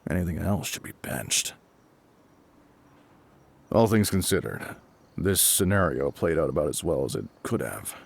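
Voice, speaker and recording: A gruff fire captain, acted by a man with an extremely low, husky voice. His delivery rises and falls freely while staying calm and reassuring, as if speaking directly to one listener in an audio roleplay. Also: full character voice. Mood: dry, reassuring. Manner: measured, matter-of-fact, reassuring. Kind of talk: intimate scripted audio roleplay spoken to the listener.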